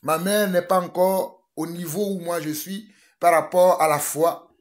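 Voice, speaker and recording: A man's voice: sits high, fairly even intonation, unhurried. A man from Togo, preaching a fervent sermon that mixes prayer with urgent exhortation and repetition. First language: a Togolese language.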